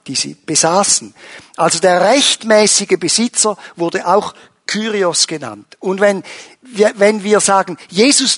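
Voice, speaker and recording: Man, pastor, sermon, husky, emphatic. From Switzerland. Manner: animated, rises in pitch and volume for emphasis.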